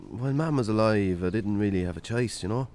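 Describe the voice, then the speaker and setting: An actor playing a weary, wry Irish farmer in a naturalistic radio drama. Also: male voice, fairly low pitch, fairly quick, darkish tone, a little hiss or hum, natural voice. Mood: reflective, subdued.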